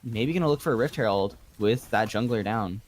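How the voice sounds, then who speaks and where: A man doing play-by-play commentary on a live video game match, with a delivery that gets excitable when calling action. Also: lowish voice, a little fast, slight background noise.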